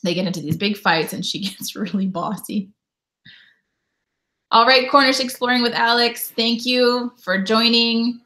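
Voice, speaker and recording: A woman's voice: a little high, bright, forceful tone. A woman from Canada in her thirties, hosting a casual live stream from home and chatting with viewers as she reads out their comments. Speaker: YouTube host.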